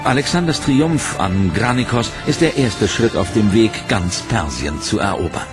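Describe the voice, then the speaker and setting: A man giving scripted, measured documentary narration in a dramatic tone. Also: fairly low pitch, expressive. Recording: narration over loud music.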